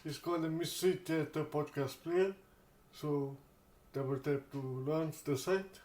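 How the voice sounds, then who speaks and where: A man hosting a casual tech demonstration, speaking haltingly and often repeating words. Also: on the high side, fairly even intonation, a little mellow, roomy sound, slight background noise. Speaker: tech channel host.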